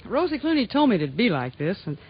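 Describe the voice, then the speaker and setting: A woman's voice: medium pitch, sing-song, quick pace, husky. A woman trading light, teasing comic banter as a guest on a variety show. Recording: dull vintage 1960s broadcast recording.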